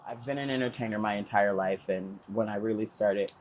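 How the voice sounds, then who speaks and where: A woman's voice: very deep voice, fairly quick, background noise. A woman in her fifties from Chicago, an entertainer, answering interview questions playfully. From Chicago, USA. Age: 50s. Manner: playful, joking. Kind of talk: documentary interview.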